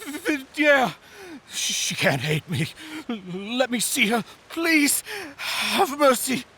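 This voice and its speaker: A desperate, sharp-voiced pleading villain. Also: male voice, very high voice, very animated, slow, slight background noise, heavily acted. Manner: stammering, pleading, anguished. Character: desperate, guilt-ridden male villain begging for mercy. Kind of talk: dramatic video game voice acting.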